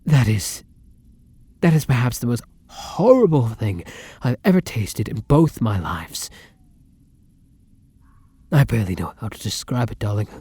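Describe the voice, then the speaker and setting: A man playing a hungry, affectionate yet menacing vampire lover in an ASMR roleplay, speaking in a formal, theatrical way that often drops to a husky whisper. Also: medium pitch, dark tone, no room sound, full character voice. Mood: disgusted, apologetic.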